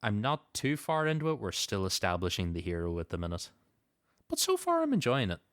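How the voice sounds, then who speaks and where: A man's voice: on the low side, huge pitch swings, fairly quick, fairly bright tone, no echo, clean studio sound. A man hosting a podcast, moving between show announcements and casual chat. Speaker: podcast host.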